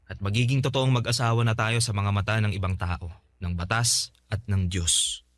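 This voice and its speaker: A man's voice: fairly low pitch, fairly even intonation, moderate pace, a bit bright, no echo, natural voice. A man playing a devoted, joking young boyfriend in a radio drama. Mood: sincere, reassuring. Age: young adult. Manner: joking, reassuring. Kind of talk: Tagalog radio drama acting.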